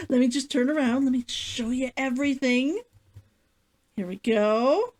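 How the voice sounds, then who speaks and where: A woman hosting a read-along stream, talking to viewers and reading a story as an excitable vtuber. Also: high voice, takes it slowly. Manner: giddy, giggly, gushing and repetitive while chatting.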